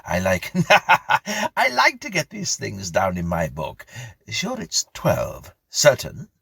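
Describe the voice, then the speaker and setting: A man voicing an austere but eagerly kindly old gentleman, fussy and effusive, repeating his questions in a storybook reading. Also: lowish voice, wildly swooping pitch, slow pace, gravelly, breathy edge, dry recording, clean studio sound, pitched above the performer's own voice, full character voice.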